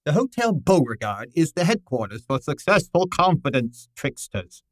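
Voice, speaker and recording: A man's voice: on the high side, sing-song, normal speed, no echo. A man playing a large, pompous, irritable genius detective in an old time radio style drama, speaking formally and with exasperation. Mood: stern, imperious. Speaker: actor in an audio detective drama.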